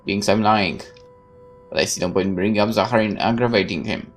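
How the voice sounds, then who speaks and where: A woman with a strikingly deep voice reads story narration from a visual novel aloud as a let's play host. She drops in asides of her own, with faint music playing behind her. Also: a little fast.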